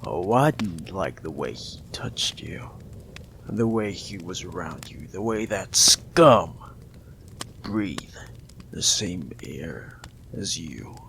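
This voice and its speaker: A man voicing a possessive, menacing mob boss in an audio roleplay, gravelly and slow, coldly affectionate and often whispering. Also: deep voice, expressive, fairly bright tone, a little hiss or hum, exaggerated voice.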